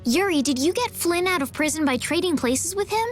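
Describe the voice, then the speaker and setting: An earnest young lady from an animated game. Her voice is very high and lively in pitch, polite yet excitable, with music behind it. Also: brisk. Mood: curious, concerned. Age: young adult. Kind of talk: animated video game voice acting. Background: background music.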